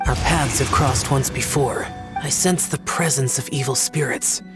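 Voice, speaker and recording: A man voicing a solemn, aloof, ancient immortal guardian in a video game, speaking gravely and formally. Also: medium pitch, unhurried, close to an everyday voice. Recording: game music behind the voice.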